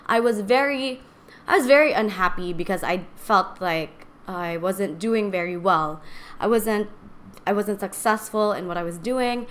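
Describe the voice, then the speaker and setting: A woman in her twenties hosting a podcast, talking casually and candidly, her strained voice raspy and a little shaky. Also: mid-range voice, a little slow, a bit bright. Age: 20s. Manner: candid, rambling, chatty. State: strained voice from singing and talking too much. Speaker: podcast host. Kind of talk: casual personal talk to live stream viewers.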